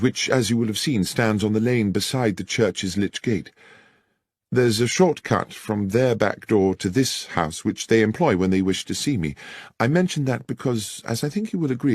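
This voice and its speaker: A man's voice: medium pitch, a little mellow, slightly rough, no echo, studio quality. A man voicing an earnest, anxious young clergyman in an audiobook, speaking formally and with care. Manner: formal, careful, wordy. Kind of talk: dramatized audiobook character dialogue.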